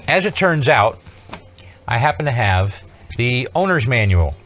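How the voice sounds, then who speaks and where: A man's voice: medium pitch, very animated, a little slow, fairly bright tone, faint music behind. A man presenting an unscripted hands-on electronics tutorial in an informal, explanatory way with wry asides.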